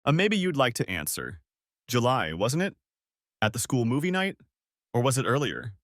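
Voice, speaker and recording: A man's voice: medium pitch, very animated, moderate pace, a bit bright, no echo, studio quality. A man voicing a betrayed husband in a story, cold, cutting and bitterly angry. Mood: bitter accusing. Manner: cutting, accusatory.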